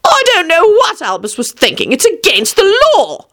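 A stern, disapproving old-fashioned man who pronounces his scorn slowly, voiced by a woman reading aloud. The pitch leaps as he heaps on contempt. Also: huge pitch swings, fairly bright tone, exaggerated voice.

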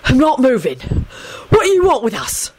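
A woman's voice: high voice, wildly swooping pitch, normal speed, darkish tone, husky, no echo, pitched above the performer's own voice, heavily acted. A woman voicing a defiant, sweary, earnest young male wizard with a blunt, persuasive way of talking, in an audiobook story. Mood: defiant, incredulous.

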